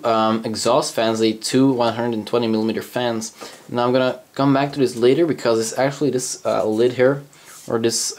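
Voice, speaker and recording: A man's voice: mid-range voice, a little mellow. Young man with a cold, casual review. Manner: rambling, many fillers and self-corrections. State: has a cold, slightly scratchy voice. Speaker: hardware reviewer. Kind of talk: casual product review walkthrough. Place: indoors at a desk, handling a PC case.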